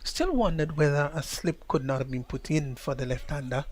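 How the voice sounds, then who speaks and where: A Caribbean man giving live cricket commentary in a chatty, measured way, his voice jumping up when a play turns exciting. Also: fairly high pitch. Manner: chatty, measured, rises sharply on exciting plays. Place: commentary position outdoors at a cricket ground.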